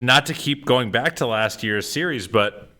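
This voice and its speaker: A man's voice: medium pitch, measured pace, sharp tone. A man co-hosting a sports podcast, his voice a little strained from cheering, talking casually and with lots of hesitations. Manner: casual, with frequent uh fillers and restarts. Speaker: podcast co-host.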